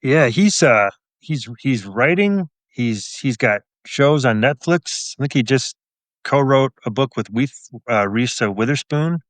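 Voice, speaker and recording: A man's voice: medium pitch, lively intonation, measured pace, no echo. A middle-aged American man chatting casually as an interview guest, understated and modest. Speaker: guest author.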